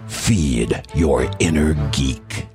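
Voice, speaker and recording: A voice actor playing a grave, dramatic trailer announcer with a gravelly voice, narrating an ad parody. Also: male voice, very deep voice, drawn-out words, over music, full character voice. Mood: solemn, dramatic. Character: grave epic announcer man, solemn and dramatic. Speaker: professional voice actor.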